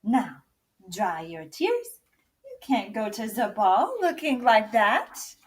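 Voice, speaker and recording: A woman voicing a kindly, brisk little fairy godmother in a storybook, reassuring and playfully theatrical. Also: on the high side, big pitch swings, far from the mic.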